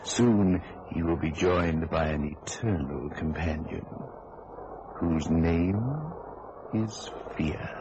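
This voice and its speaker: An actor playing a brooding radio thriller narrator, measured, suspenseful and portentous. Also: male voice, rumbling low, highs cut off, heavy background noise. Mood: ominous, suspenseful. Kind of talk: dramatic scripted narration. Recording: old radio recording under loud music.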